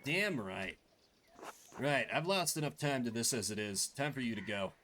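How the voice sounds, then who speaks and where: A man voicing a surly, defensive villager in a game, grumbling and swearing gruffly. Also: medium pitch, expressive, moderate pace, lightly acted. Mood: defensive, irritable. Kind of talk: voiced game dialogue. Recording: clearly noisy background.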